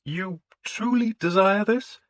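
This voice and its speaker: A man voicing a fussy, faint-hearted village priest who speaks slowly and hesitantly in a raised, husky voice. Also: sits high, dry recording, voice pushed up. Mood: wary. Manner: slow, hesitant, lifted and pleading.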